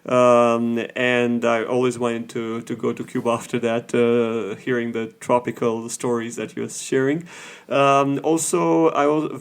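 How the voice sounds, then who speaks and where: Measured man. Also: medium pitch, level tone, smooth. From Romania. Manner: measured, pausing often between phrases. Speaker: guest professor. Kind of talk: casual interview conversation.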